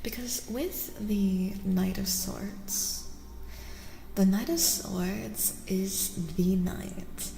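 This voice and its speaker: A woman hosts a soft-spoken ASMR tarot stream, close and intimate with her listeners. Her delivery is slow and often drops into a whisper with a creaky edge. The recording is washed in long echoing reverb with clear noise behind it. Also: mid-range voice. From United States. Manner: intimate, addresses listeners by name with endearments. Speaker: livestream host and tarot reader. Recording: noisy, echoey with long reverb.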